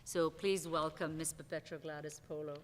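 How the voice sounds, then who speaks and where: Low-voiced woman chairing a panel. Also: steady tone, normal speed, heavy background noise. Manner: conversational, with frequent restarts and "uh"s. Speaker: session chair. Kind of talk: panel moderation at an academic conference. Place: large auditorium stage at a panel table. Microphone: table microphone. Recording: long reverb of a large hall.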